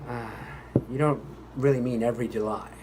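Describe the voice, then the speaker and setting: A man's voice: medium pitch, steady tone, brisk, noisy background, natural voice. A man playing a pompous, exasperated commercial voice actor at a recording session, grandiose, indignant and condescending. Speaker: voice-over actor. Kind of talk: re-enacted commercial recording outtake.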